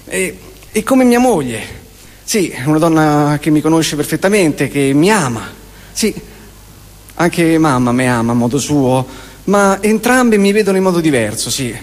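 A man in his forties with a Roman accent performs a theatrical comic monologue, using emphatic repetitions and deliberate pauses. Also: on the high side, very animated. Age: 40s. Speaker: stage performer. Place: live theatre performance in a hall. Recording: long hall reverb of a live venue.

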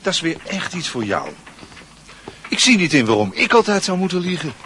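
A cocky teenage lad in a radio play, his pitch swinging widely as he talks fast. He is nonchalant, affected and slippery, with faint noise behind him. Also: sing-song, sharp tone, exaggerated voice. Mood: defensive. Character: cocky, evasive, worldly-posing teenage male school friend. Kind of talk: radio drama acting.

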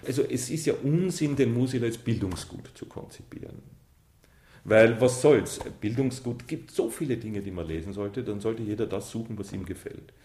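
A man's voice: medium pitch, moderate pace, mellow, a little hiss or hum. A man giving emphatic, plain-spoken opinions in casual interview talk. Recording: echoey room.

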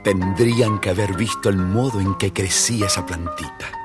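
A man's voice: sits low, moderate pace, loud music behind the voice. A man expressively narrating a scripted children's story.

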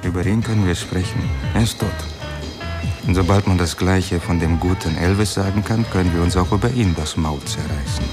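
Actor as a deep-voiced sardonic crime boss over music. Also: male voice, normal speed, lightly acted. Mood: mocking. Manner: mocking, theatrical, teasing menace. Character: smooth, sardonic male underworld gambling boss. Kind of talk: German radio drama acting. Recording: music behind the voice.

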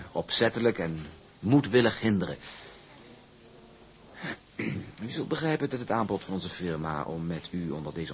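A smug young heir to a shipping business in a radio play. His low voice swoops expressively through formal, condescending phrases. He is pompous and oily in his politeness. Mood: indignant, pompous. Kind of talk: Dutch radio drama acting.

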